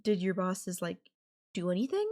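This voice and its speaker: A woman playing a possessive, doting young yandere girlfriend in an intimate audio roleplay, speaking coyly and affectionately with lingering pauses. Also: fairly low pitch, very animated, mellow. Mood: indignant, sympathetic.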